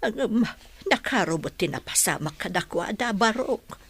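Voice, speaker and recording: A woman's voice: mid-range voice, animated, moderate pace, vocal fry, a bit bright, husky, exaggerated voice. A woman playing a concerned, motherly older woman in conversational radio drama.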